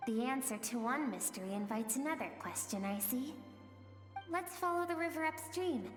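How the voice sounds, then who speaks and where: A gentle female spirit from a video game, guiding a visitor through a dream. She muses softly with a slightly high voice. The sound is roomy with a long reverb and faint game music. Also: lively intonation, moderate pace. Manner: soft, musing, gently questioning.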